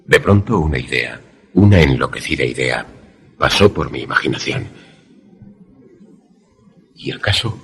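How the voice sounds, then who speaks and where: A man playing a polite, inquisitive visitor who narrates and converses in a suspense radio play, measured and formal. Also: a bass voice, animated, a bit bright, slightly rough, soft background music, full character voice. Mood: measured, suspenseful. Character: inquisitive male visitor narrating an eerie tale, polite, sceptical.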